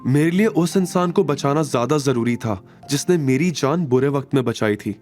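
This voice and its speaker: A man voicing an anxious, earnest and innocent young woman in an audio drama, speaking softly. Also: medium pitch, animated, normal speed, soft background music, voice pushed up, natural voice. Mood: tender. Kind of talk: Hindi audio drama dialogue.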